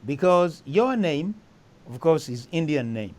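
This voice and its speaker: A man's voice: fairly high pitch, sing-song, measured pace. A man hosting a casual music interview, talking with enthusiasm and often repeating himself. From East Africa. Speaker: host. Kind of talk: casual chat.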